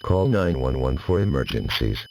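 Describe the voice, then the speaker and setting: A man's voice: very deep voice, sing-song, moderate pace. A man reading scripted emergency warnings as a formal, mechanical announcer. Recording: dull lo-fi alert audio.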